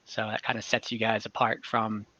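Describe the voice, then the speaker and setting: A man narrating a tabletop fantasy game as game master over a video call, in a halting, improvised way. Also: fairly low pitch, monotone, bright, forceful tone, no room sound. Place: indoors at home, on a video call. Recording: slightly dull online call audio.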